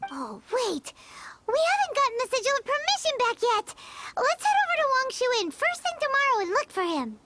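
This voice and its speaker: A woman voicing a tiny, childlike fairy companion in a game, excitable and nosy, talking about herself in the third person. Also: brisk, very noisy, heavily acted. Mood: curious. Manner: squeaky, refers to self in third person. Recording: game dialogue over prominent music.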